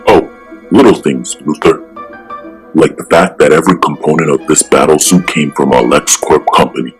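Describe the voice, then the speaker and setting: A man voicing a stern, steadfast superhero with a firm, measured delivery in a comic-book drama. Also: extremely low pitch, faint music behind. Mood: scornful. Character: stern, righteous male superhero, steadfast and unafraid.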